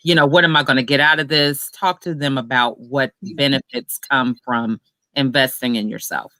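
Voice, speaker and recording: An interviewer asking long, encouraging questions in a lively, conversational way. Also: medium pitch, moderate pace, no echo. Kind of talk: casual interview.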